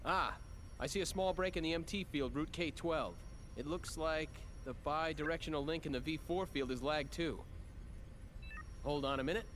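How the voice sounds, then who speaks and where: A man voicing a steady male lab technician who calmly reports technical readings in a game cutscene. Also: a little high, normal speed, a little hiss or hum, natural voice. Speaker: game character. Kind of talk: dubbed game cutscene acting.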